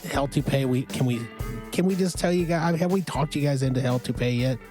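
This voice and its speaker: A man's voice: mid-range voice, a little fast. A man hosting a podcast in a studio, with a smoky voice, upbeat and urging listeners to get out and support music. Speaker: podcast host. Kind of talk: casual podcast chat.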